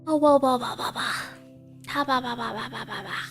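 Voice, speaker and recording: A woman's voice: fairly high pitch, fairly quick, vocal fry. A woman livestreaming a game, switching between casual, playful chat and reading the game's text aloud. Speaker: streamer playing and reading aloud a horror game. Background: music.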